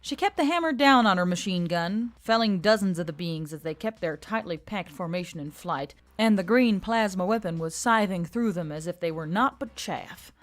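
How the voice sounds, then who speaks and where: A woman's voice: lowish voice, animated, moderate pace, few pauses. A woman narrator reading a dramatic action story from a script. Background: faint background music.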